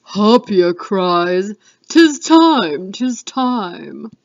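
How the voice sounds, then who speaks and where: A woman's voice: medium pitch, very animated, very slow, vocal fry, dull audio, full character voice. A woman playing a sinister witch who recites a cauldron spell in rhythmic chant, in a dramatic Shakespeare reading.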